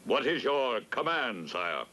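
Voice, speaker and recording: Slow, grandly swooping all-powerful genie. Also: male voice, medium pitch, sing-song, heavily acted. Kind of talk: children's storybook audio drama. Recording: loud music behind the voice.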